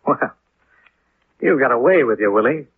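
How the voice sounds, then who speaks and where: Terse American detective, old radio sound. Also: male voice, mid-range voice, expressive, normal speed, breathy edge. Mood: businesslike. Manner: terse, clipped, wry. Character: wry, hard-boiled American insurance investigator. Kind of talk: 1950s radio detective drama acting. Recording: narrow, noisy 1950s radio recording.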